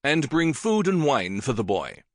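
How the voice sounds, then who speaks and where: A man voicing a commanding older king who speaks in a measured, regal way. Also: medium pitch, very animated, no echo, studio quality, voice pushed up. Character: commanding older king, warm yet persuasive.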